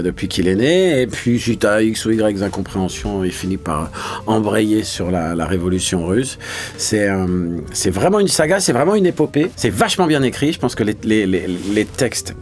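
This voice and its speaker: A man, a voice actor talking casually in an interview, colloquial and searching for his words. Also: fairly low pitch. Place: small recording studio.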